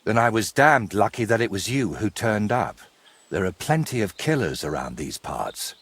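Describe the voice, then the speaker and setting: An actor playing a wry, courteous, middle-aged medieval painter in a video game, with a husky voice and a wordy, theatrical way of talking. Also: male voice, fairly low pitch, sing-song, normal speed, a bit bright, full character voice. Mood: grateful, weary. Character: wry, wordy ageing medieval painter, robbed but polite. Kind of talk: game cutscene dialogue.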